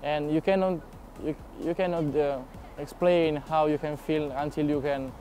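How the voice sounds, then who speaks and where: A man's voice: fairly high pitch, steady tone, normal speed, mellow, faint music behind. A young man with an Italian accent, speaking enthusiastic broken English in a documentary interview outdoors. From Italy. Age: young adult. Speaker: exchange student. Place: outdoors in a city square.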